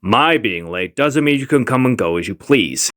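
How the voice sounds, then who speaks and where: Guy as strict sarcastic history teacher, dry, medium pitch but really animated, cartoony dub. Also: moderate pace, natural voice. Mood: dry sarcasm. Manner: dry, sardonic. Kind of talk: comic fandub acting.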